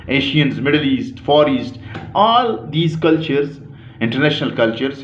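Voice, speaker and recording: A man from Pakistan, giving an academic lecture in a slow, deliberate way, pausing often and correcting himself. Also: medium pitch. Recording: echoey room, dull lo-fi sound.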